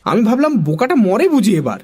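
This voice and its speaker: A woman narrating a comic children's story in an expressive storytelling style. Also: on the high side, a little fast, husky.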